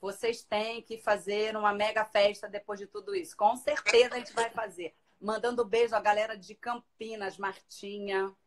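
A woman's voice: mid-range voice, moderate pace, few pauses. Chatty woman hosting a phone livestream. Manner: chatty, lively, reads out comments. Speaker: livestream host. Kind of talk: casual live chat reading viewer comments. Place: living room at home. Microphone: phone camera at arm's length.

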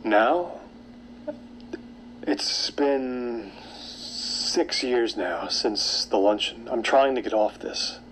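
An actor playing an obsessed, brooding man in a naturalistic audio drama, with a hesitant, halting delivery. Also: medium pitch, a bit bright, slight background noise, low-quality recording. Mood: wary, hesitant. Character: obsessed, brooding man seeking supernatural truth.

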